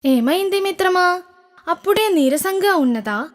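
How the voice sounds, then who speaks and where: Woman as a high, kindly cartoon camel. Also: lively intonation, measured pace. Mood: concerned, caring. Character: kind, helpful, trusting camel friend. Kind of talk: children's cartoon storytelling. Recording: music behind the voice.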